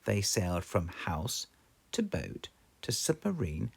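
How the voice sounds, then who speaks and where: British children's read-aloud narrator: slow, gravelly, widely swinging intonation. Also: sits low, wildly swooping pitch, darkish tone. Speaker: storybook narrator.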